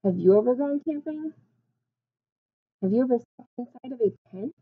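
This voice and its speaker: A woman in her twenties reading a picture book aloud like a teacher, expressively, pausing to put simple questions and explanations to young children. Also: fairly high pitch, lively intonation, phone-quality sound. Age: 20s. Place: small classroom, indoors.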